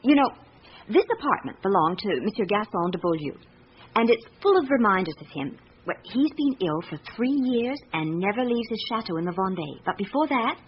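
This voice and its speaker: An actress with a British accent playing a candid, observant secretary in a radio drama, speaking in a measured, confiding way. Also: female voice, medium pitch, natural voice. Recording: muffled radio recording with background hiss.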